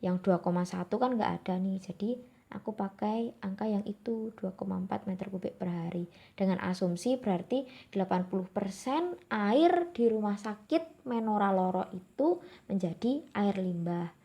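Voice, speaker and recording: A woman's voice: medium pitch, moderate pace, mellow, slightly rough. A young woman from Java speaks with a Javanese accent. She talks her viewers through practice questions step by step. Her tone is friendly and informal. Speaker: exam tutor. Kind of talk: explaining exam questions to viewers.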